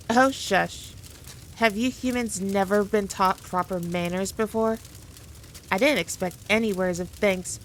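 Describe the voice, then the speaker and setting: Woman voicing a demon queen, haughty, dominant, playfully condescending, audio roleplay, mid-pitched, slight background noise. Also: natural voice. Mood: imperious. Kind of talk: scripted roleplay monologue addressed to the listener.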